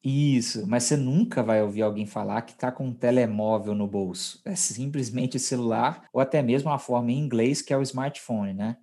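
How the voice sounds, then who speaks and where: Husky Brazilian man teaching, mellow voice. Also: medium pitch, moderate pace, few pauses. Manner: explanatory, checks in with co-host. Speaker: podcast host teaching Portuguese. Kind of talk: conversational language lesson.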